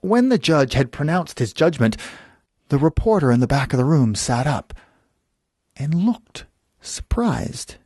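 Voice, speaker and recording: Man, bedtime story narration, slightly high voice, deliberate pauses, dry sound. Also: sing-song, a little mellow, slightly rough, no room sound. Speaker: storyteller and channel host.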